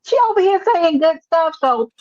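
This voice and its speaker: A woman's voice: very high-pitched, expressive, normal speed, darkish tone, highs cut off. A woman with a New York accent hosting a casual livestream chat, calling people baby and honey as she talks. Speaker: livestream host.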